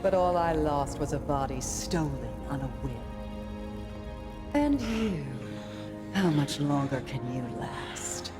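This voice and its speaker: Slow, low-voiced smug villainess over loud music. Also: female voice, lively intonation. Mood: mocking. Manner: taunting, drawn-out, theatrical delivery. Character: smug, theatrical female villain serving destruction. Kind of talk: video game cutscene voice acting.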